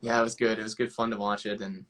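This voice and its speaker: A young adult man hosting a casual live chat, relaxed and affirming as he talks. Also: lowish voice, quick pace. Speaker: host.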